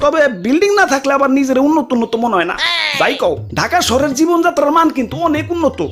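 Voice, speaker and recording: A man's voice: very high-pitched, animated, fast talker. A man voicing a touchy, boastful city come to life in a comic cartoon, scolding in exaggerated Dhaka dialect over music. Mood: defensive, boastful. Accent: Bangladeshi, Dhaka dialect.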